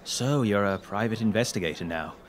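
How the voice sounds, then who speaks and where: An actor playing a concerned, hesitant male friend in an audio drama, speaking gently. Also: lowish voice, fairly quick, slight background noise, lightly acted.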